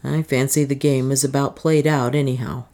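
A woman's voice: very deep voice, steady tone, normal speed, dark tone. A woman voicing a cold, commanding male adventurer in an audiobook, speaking in a curt, dry way. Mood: desperate, grim. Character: cold, desperate, commanding British adventurer man.

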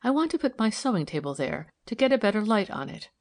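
A woman's voice: medium pitch, sing-song, fast talker, a little mellow, pitched above the performer's own voice. A woman voicing a shrewd, composed, friendly lady investigator in an audiobook, with a light, careless manner. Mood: brisk, good-humoured.

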